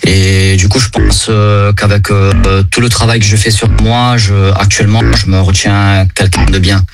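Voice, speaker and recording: Brief: man with an Italian accent, native Italian, low voice, halting second-language answers, music clearly audible behind. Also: level tone. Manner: searching for words, hesitant. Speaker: guest musician. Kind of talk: interview answers. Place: home studio.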